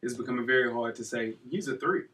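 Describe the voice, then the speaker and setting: A man's voice: medium pitch, fast talker, background noise. A man hosting a sports podcast with casual, slangy banter, jovial and given to repeating phrases for emphasis. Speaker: podcast host. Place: studio. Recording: slightly distant from the mic.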